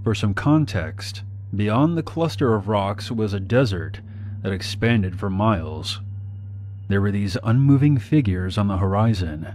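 Man, from Somalia, low voice, somber narration, faint background noise. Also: animated, a little slow, darkish tone. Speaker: narrator telling his own story. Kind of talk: scripted first-person narration.

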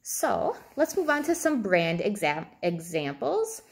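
A woman's voice: medium pitch, very animated, unhurried, creaky. Woman, thirties, mid-range voice, informal coaching video, conversational, thinking aloud. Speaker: fitness and nutrition coach. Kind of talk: informal advice video, talking to camera. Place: indoors, small room at home, seated at a table.

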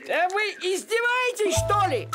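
An actor voicing a grumpy, stubborn male house cat in an animated series, grumbling indignantly. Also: extremely high pitch, a little slow, full character voice. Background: cartoon music.

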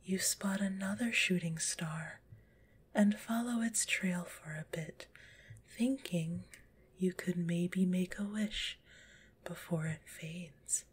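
A woman softly whispering a guided relaxation in an ASMR style, gentle and soothing, with a slightly stuffy nose. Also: on the low side, slow, slight background noise. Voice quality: breathy whisper; stuffy-nosed. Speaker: guided visualization narrator.

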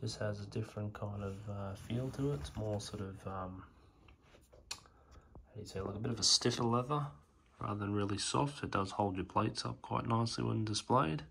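A man reviewing a product hands-on, speaking casually in short, halting, appreciative remarks, recorded on a phone camera. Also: lowish voice, fairly bright tone. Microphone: phone camera mic. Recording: clear background noise, phone-camera recording.

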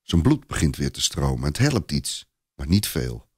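A man narrating a scripted story in a dramatised, expressive and deliberate storytelling style. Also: very deep voice, breathy edge, no echo, clean studio sound.

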